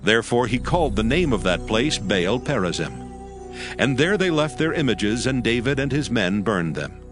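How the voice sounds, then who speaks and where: A man narrating an audio Bible as scripture storyteller, in a measured, solemn manner. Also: mid-range voice, big pitch swings, over music.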